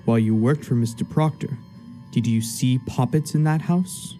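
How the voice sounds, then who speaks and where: A man's voice: medium pitch, normal speed, mellow, soft background music, lightly acted. A man acting the part of a stern, imperious judge in a radio play, speaking in a formal, commanding way. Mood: probing. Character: stern, imperious male judge presiding over an inquiry.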